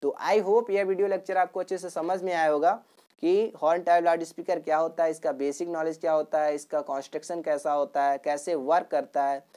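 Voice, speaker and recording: Low, mellow woman teaching. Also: steady tone, brisk. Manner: repetitive, with frequent check-in tags. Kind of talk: explanatory lecture in simple terms.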